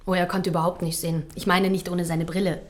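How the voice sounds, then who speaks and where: An actress playing a cool, clever heiress in a radio crime drama, speaking frankly, precisely and with composure. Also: female voice, lowish voice, flat delivery, fast, lightly acted. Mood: composed. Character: cool, frank, clever daughter of rich man.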